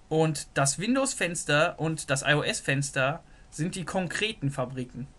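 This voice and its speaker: Man, programming tutor, slightly high voice, bright tone, thinking aloud, desktop mic. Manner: thinks aloud while sketching, many "so" fillers. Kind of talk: screen-recorded whiteboard lesson.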